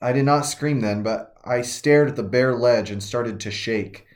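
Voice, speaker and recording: A fervent woman disciple pours out her shaking grief and wonder in an urgent, intimate confession, as a man reads her in the first person. Her voice sits at an ordinary middle pitch. It is a devotional reading told in character. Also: unhurried, close to an everyday voice. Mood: awestruck. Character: shaken grieving woman disciple, fervent and awestruck.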